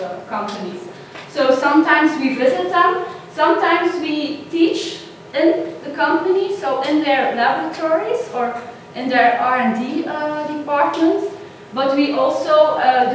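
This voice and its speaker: A woman, a lecturer giving a guest lecture with many pauses and "uh"s. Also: high-pitched. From Belgium. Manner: friendly, frequent "uh" pauses. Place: large echoey lecture hall. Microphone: distant room mic. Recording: echoey hall from far off, noisy.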